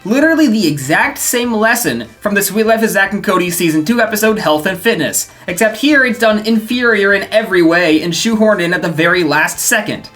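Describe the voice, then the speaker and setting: A woman's voice: medium pitch, lively intonation, a little fast, hardly any pauses, fairly bright tone, faint music behind. A woman hosting a video essay, narrating from a script with dry, sarcastic commentary.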